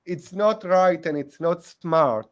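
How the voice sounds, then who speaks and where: A man in his forties with an Israeli accent, a historian speaking as a guest over a video call, measured, restarting phrases and adding "yeah?" to check in. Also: high-pitched. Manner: measured, repeats "I, I" and tags "yeah?". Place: small room at home. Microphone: earbuds on video call.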